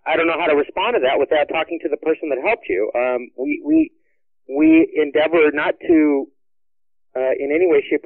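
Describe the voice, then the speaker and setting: A man working as a store manager, answering a call in a hesitant, apologetic way with many halting restarts. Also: fairly high pitch, no room sound. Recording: tinny phone line.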